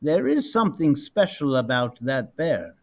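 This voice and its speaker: A man's voice: a little high, sing-song, measured pace, exaggerated voice. A man voicing an earnest, kind-hearted soldier veterinarian in a storybook. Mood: thoughtful.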